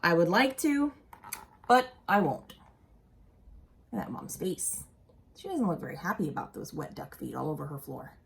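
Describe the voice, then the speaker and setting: A woman's voice: lowish voice, vocal fry, husky. A woman reading a children's picture book aloud expressively, with casual asides and questions for young listeners. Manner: animated, deliberate, asks the listeners questions.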